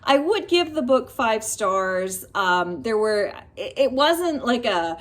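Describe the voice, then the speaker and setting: A woman's voice: fairly high pitch, very animated, slow pace. Chatty woman reviewing books casually. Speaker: book reviewer vlogger. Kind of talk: casual chatty book review and personal storytelling.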